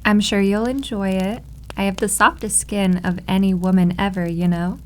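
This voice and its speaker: A woman's voice: medium pitch, fairly even intonation, normal speed, darkish tone, lightly acted. Teasing, possessive maid girlfriend played by a woman. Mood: cooing, affectionate. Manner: cooing, teasing, confident. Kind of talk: roleplay ASMR acting.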